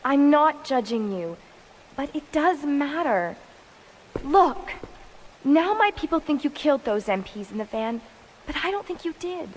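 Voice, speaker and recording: A woman's voice: sits high, big pitch swings, a little slow, long reverb tail, slight background noise, rough recording. A young woman with an American accent playing an earnest, determined police medic in a video game cutscene. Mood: insistent, concerned.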